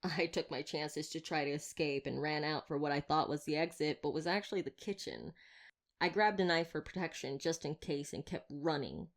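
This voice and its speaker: A woman acts out a young female vampire slayer with a low-pitched voice. She is wry and theatrical, talking straight to the listener in an ASMR roleplay. Her manner is chatty and teasing, with a playful menace.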